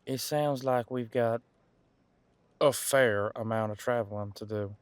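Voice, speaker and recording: A man playing a centuries-old, easygoing detective in an audio roleplay, talking casually and folksily as he muses aloud with pauses. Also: mid-range voice, clear, resonant tone, dry recording, close to an everyday voice. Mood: brisk, planning. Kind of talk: detective roleplay audio, one side of a conversation.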